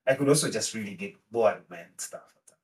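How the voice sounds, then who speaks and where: A man with a low voice hosts a podcast, sitting back from the mic. He asks his questions loosely and hesitantly, with plenty of fillers. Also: expressive, fast. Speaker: podcast host. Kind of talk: casual interview. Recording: distant from the mic.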